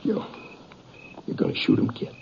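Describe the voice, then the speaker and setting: An actor playing a plain-spoken, compliant outlaw brother in a 1950s radio western, with rustic American speech. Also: male voice, moderate pace, lightly acted. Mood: uneasy. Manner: rustic, folksy phrasing. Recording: dull, noisy old radio recording.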